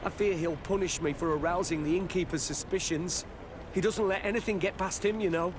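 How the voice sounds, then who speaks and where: A man's voice: sits high, fairly even intonation, brisk, background noise, close to an everyday voice. An actor playing a nervous, frightened male informant who stammers and pleads, in a video game. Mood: worried.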